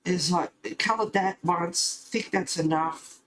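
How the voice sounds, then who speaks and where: A man's voice: high-pitched, slow, fairly bright tone, roomy sound, slight background noise. A man chatting casually and informally while colouring, rambling and thinking aloud as he goes. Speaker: host of a colouring channel.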